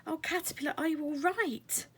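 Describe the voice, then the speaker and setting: A woman's voice: high voice, moderate pace, voice pushed up. A woman voicing a kind young butterfly fairy in a children's storybook, earnest and pleading. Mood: urgent, concerned.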